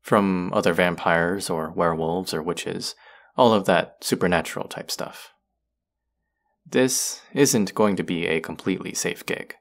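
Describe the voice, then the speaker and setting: A man's voice: sits low, measured pace, creaky. A young man acting as an earnest, wry young vampire in an intimate audio roleplay drama. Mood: earnest pleading.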